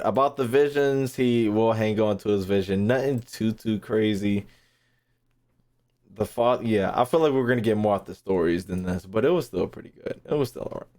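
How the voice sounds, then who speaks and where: A man's voice: lowish voice, darkish tone. Slangy man in casual reaction commentary. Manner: slangy, profane, repetitive. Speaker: gaming streamer reacting to game lore.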